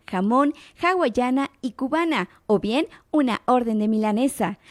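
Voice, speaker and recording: A woman's voice: a little high, a little mellow. A woman with a Mexican accent reading a scripted advertising voice-over. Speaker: commercial announcer.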